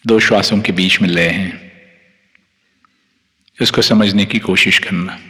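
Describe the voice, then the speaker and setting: A man with an Indian accent guiding a meditation as a teacher, calm, unhurried and soothing, leaving long pauses between phrases. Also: low voice. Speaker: meditation teacher, Reiki master guiding yoga nidra. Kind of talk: guided meditation, instructing listeners. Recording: long hall-like reverb on the voice.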